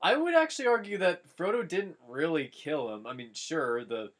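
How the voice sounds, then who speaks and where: A man's voice: on the high side, expressive, moderate pace, fairly bright tone. A man chatting casually as a co-commentator, making mock-serious, nitpicking jokes. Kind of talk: casual joking chat.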